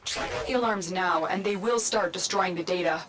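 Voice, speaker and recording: An actress playing a grave, mysterious guide in a game, giving scripted mission orders in a solemn, measured, urgent manner. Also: female voice, on the low side, lightly acted. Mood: urgent, instructive. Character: grave, mysterious woman guide commanding secret warriors.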